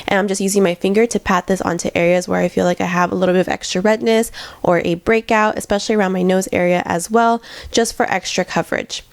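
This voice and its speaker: A woman's voice: medium pitch, dry recording. A young woman narrating a makeup tutorial in a chatty, step-by-step way, her voice nasal from congestion. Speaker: beauty vlogger.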